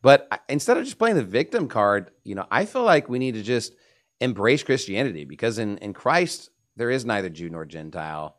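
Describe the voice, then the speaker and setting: A man's voice: on the low side, sing-song, brisk. A man giving emphatic, opinionated religious commentary as a panelist in a discussion.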